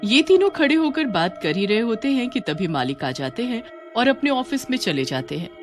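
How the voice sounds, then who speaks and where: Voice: medium pitch, very animated, moderate pace, over music. A narrator reading a scripted cartoon horror story.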